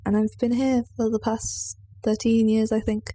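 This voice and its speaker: A woman's voice: a little high, level tone, moderate pace, dark tone, breathy edge, dry recording. A young woman from England co-hosting a podcast, speaking in a casual chat. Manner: brief, reactive remarks. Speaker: podcast co-host.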